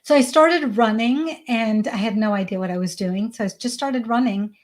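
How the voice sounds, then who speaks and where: A woman's voice: fairly high pitch, normal speed. Earnest, emphatic woman guest. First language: Hindi. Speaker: parenting coach guest. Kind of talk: casual podcast interview.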